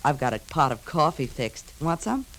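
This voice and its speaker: Breezy, slangy American dame. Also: female voice, low-pitched, big pitch swings, normal speed, low-quality recording. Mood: breezy, inviting. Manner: breezy, slangy, clipped phrases. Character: friendly, flirty, homesick American redhead woman neighbour, breezy. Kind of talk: 1950s radio drama acting. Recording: 1950s radio recording, hissy background.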